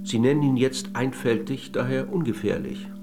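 An actor playing a polite but probing middle-aged interviewer in a radio play, with measured, insistent questioning. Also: male voice, medium pitch, over music, lightly acted. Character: courteous but probing male interviewer of an old lady.

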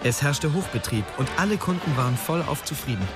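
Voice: mid-range voice, animated, brisk, over loud music, natural voice. A performer narrating a comic fantasy radio drama in a scripted storytelling style. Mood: matter-of-fact.

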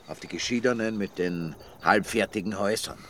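A man acting a teasing village friend in a radio drama, with a lowish voice and wry delivery. Night insects and ambience are clearly heard around him. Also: fairly quick, slight background noise, natural voice.

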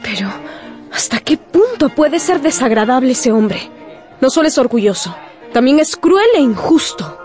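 A woman playing a proud, outspoken young gentlewoman with a teasing edge in a radio drama. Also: sits high, sing-song, normal speed, faint music behind. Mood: curious, indignant.

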